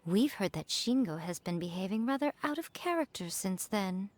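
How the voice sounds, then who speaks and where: A woman's voice: medium pitch, animated, moderate pace, slight background noise, natural voice. A woman playing an elegant, teasing fox-spirit shrine maiden in a video game, poised and amused. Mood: coy, amused.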